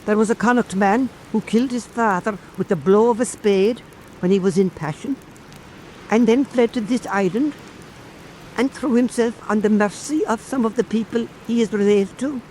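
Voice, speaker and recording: An actor playing an earnest old islander who tells folk anecdotes in a measured radio drama cadence. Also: mid-range voice, darkish tone, a little hiss or hum, natural voice, rough recording. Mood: grave, storytelling. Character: old island storyteller, earnest, compassionate toward wrongdoers.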